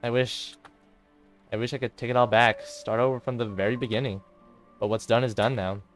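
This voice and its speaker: A despairing teenage girl, a club president weighed down by guilt acted by a man. The voice is quiet and hesitant over music, in the style of visual novel drama. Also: mid-range voice, fairly even intonation, brisk, lightly acted. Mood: resigned. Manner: subdued, hesitant, self-blaming.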